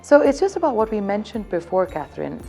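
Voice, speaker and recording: A woman's voice: mid-range voice, sing-song, fast, mellow, faint music behind. A woman in her thirties from Zambia, speaking as a hotel events representative. She gives upbeat, promotional interview answers and keeps circling back to one theme.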